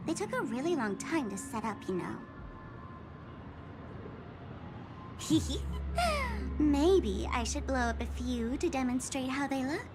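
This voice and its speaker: Playful, menacing young female game villain: high-pitched, unhurried, mocking, theatrical. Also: sing-song. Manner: mocking, teasing, theatrical delivery. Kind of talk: video game voice acting. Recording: music under the voice. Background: background music.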